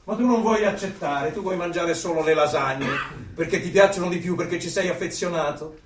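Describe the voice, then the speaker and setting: An actor plays a male interrogator for a totalitarian regime in a radio play. He lectures with cold, menacing authority and theatrical emphasis, in a slightly high voice. The sound is roomy, set back from the microphone, with some background noise. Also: a little fast, far from the mic, close to an everyday voice. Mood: lecturing, menacing. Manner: declamatory, lecturing, menacing, with sudden loud bursts.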